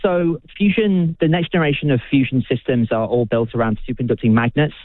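A British physicist being interviewed on the radio, speaking with enthusiasm, halting often and restarting his sentences. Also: medium pitch, no echo. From United Kingdom. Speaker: physicist and researcher. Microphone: phone. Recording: dry tinny phone line.

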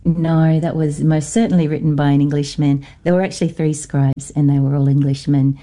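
Low, mellow Norfolk Island woman. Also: little pitch movement, brisk. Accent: Norfolk Island, Australian-influenced. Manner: thoughtful, hesitant, many fillers. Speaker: guest, academic. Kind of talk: radio interview.

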